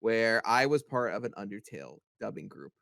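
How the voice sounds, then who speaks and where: Young man in casual, halting podcast chat. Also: lowish voice, sing-song, creaky, a bit bright, no room sound, studio quality. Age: young adult. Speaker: podcast member, amateur voice actor.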